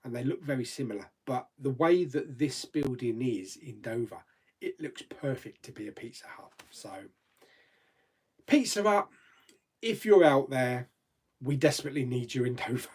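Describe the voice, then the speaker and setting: A man's voice: mid-range voice, lively intonation, normal speed, a little mellow, husky. A man hosting a casual live chat, rambling and reading out viewer comments, laughing easily and swearing mildly.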